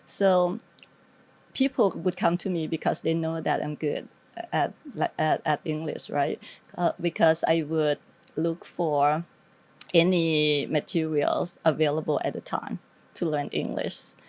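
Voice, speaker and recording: A woman's voice: low-pitched, fairly even intonation, unhurried, darkish tone. A middle-aged woman from northeast Thailand, a native Lao speaker with a Thai accent, giving conversational interview answers.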